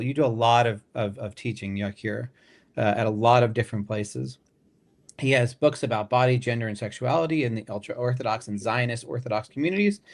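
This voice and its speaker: Chatty man co-hosting a podcast. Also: mid-range voice. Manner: chatty, joking, many fillers. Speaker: podcast co-host. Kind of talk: casual chat.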